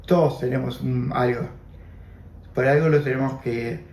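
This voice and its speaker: A man's voice: medium pitch, steady tone, normal speed, a little mellow, echoey room. A man in his seventies with an Argentine Spanish accent, Armenian as his first language, giving an oral history testimony, reflective and repeating his phrases. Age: 70s. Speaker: interviewee.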